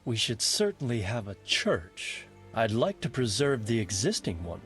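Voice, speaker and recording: An older medieval lord for a game cutscene. His voice rises and falls freely as he speaks with measured authority and dry wit, over background music. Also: mid-range voice, big pitch swings, fairly bright tone. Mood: brisk, commanding. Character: ageing medieval nobleman, practical, wry, commanding lord. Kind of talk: video game cutscene acting.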